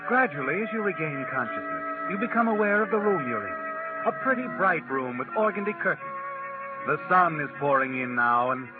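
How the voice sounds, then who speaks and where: Ominous 1940s radio thriller narrator, high-pitched, swooping, insinuating, over tinny broadcast sound. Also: male voice, wildly swooping pitch, normal speed. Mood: knowing, ominous. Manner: dramatic, insinuating second-person storytelling. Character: sinister, knowing male storyteller addressing the character. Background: loud dramatic music.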